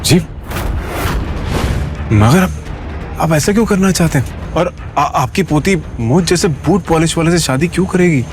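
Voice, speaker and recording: A man's voice: on the high side, measured pace, pauses often, faint music behind, lightly acted. Man acting humble, hesitant young-hero type. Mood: bewildered. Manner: polite, hesitant. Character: humble, kindly shoe-polish worker with a secret. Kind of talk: Hindi audio drama acting.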